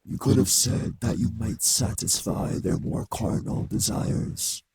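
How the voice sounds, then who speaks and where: Man playing a slow, gravelly, cruel male vampire. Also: fairly low pitch, noisy background. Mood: cold mockery. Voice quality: husky, gravelly, breathy. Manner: slow, deliberate, mockingly polite. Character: cruel, taunting, seductive male vampire, ancient and menacing. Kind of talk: scripted audio roleplay drama.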